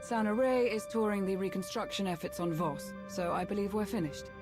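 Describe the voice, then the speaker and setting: A woman's voice: mid-range voice, brisk, music in the background, natural voice. An actress playing a loyal, efficient female military aide in a video game, formal and businesslike.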